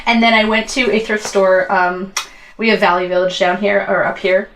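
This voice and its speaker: A woman's voice: medium pitch, little pitch movement, normal speed, crowd noise. A woman from Canada chatting casually as a podcast co-host. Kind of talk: casual chat.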